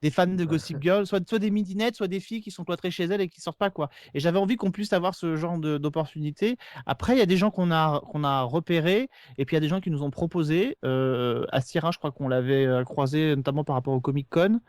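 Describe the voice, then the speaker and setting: A man's voice: fairly high pitch, fast, hardly any pauses, darkish tone, no room sound, clean studio sound. A man talking casually and at length as an interview guest, explaining things as a podcast co-host. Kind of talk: casual conversational interview answers.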